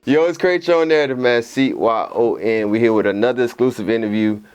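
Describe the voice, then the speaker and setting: A man's voice: medium pitch, fairly quick, darkish tone, clear, resonant tone, highs cut off, soft background music. A man hosting a podcast interview, hyping his guest in casual, slang-heavy talk. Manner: laid-back slang-heavy hype talk. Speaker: podcast host.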